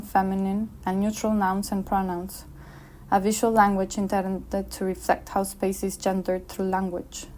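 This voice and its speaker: Flat-voiced woman reading a script. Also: medium pitch, a little hiss or hum. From Mexico. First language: Spanish. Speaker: architect presenting her work. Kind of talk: scripted narration.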